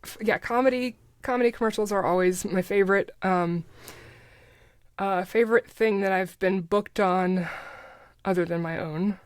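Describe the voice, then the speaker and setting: A woman's voice: medium pitch, normal speed, clean tone, no room sound. Middle-aged woman chatting casually, dry close sound. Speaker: podcast guest, voice actor and ad producer. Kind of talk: casual interview chat.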